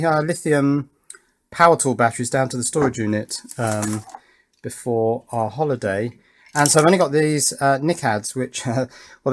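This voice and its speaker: A man's voice: mid-range voice, a little hiss or hum. A man in his fifties with an English accent, giving casual, rambling commentary as he works, hesitating and questioning himself as he thinks aloud. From England. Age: 50s. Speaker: electronics hobbyist and video maker.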